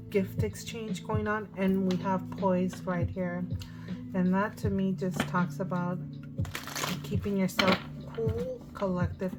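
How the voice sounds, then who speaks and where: A woman with a hoarse voice giving a casual tarot card reading, thinking aloud as she goes. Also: mid-range voice, rough recording. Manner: chatty, thinking aloud with fillers. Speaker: tarot reader. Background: music.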